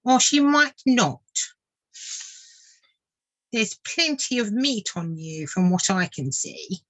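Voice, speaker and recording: A woman voicing a small, sharp-tongued male water vole in a children's storybook, blunt, wary and grumbling. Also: medium pitch, very animated, slow, voice pushed up, rough recording. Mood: wary, warning.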